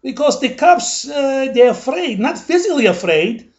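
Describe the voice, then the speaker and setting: A man's voice: very high-pitched, big pitch swings, moderate pace, exaggerated voice. A man playing a grumbling older male New Yorker, ranting in a rambling, indignant comic monologue full of asides.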